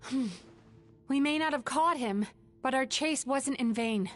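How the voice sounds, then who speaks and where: Dream-eating young woman, calm, composed, gentle and serious, slightly high voice, game music behind. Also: measured pace. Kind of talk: video game voice acting.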